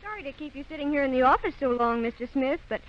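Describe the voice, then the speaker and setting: Polite, inquisitive office assistant, muffled recording. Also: female voice, high-pitched, animated, fast, soft background music. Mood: polite, curious. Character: polite, curious female doctor's office assistant. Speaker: actress in radio play. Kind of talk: old-time radio drama acting. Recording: muffled, noisy old radio recording.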